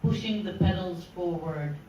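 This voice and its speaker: A woman reading poetry deliberately, pausing phrase by phrase. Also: lowish voice, warm tone, highs cut off, slight background noise. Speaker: poetry reader. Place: indoor literary meeting room. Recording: echoey room from far off.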